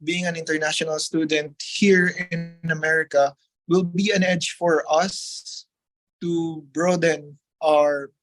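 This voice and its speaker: A man's voice: a little high, level tone, takes it slowly, a bit bright, low-quality recording. A man from the Philippines with a Filipino accent and Tagalog as his native language, speaking politely as a guest in a testimonial interview.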